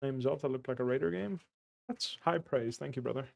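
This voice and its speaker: A man streaming a game, casually answering viewer questions in a relaxed, friendly way. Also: medium pitch, brisk, creaky, no room sound. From Belgium. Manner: relaxed and friendly, with easy fillers.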